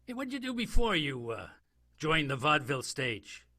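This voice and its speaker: A man acting in an improvised radio soap as an earnest war veteran turned chummy investigator, speaking emphatically and haltingly. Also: a little high, big pitch swings, pushed and bright, full character voice. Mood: probing.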